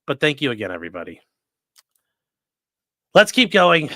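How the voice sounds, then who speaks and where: A man's voice: fairly high pitch, huge pitch swings, normal speed, sharp tone, no room sound, studio quality. A man hosting a livestream, joking through sponsor reads and giving blunt, sarcastic opinions. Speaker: livestream host, defense attorney. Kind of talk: casual livestream commentary.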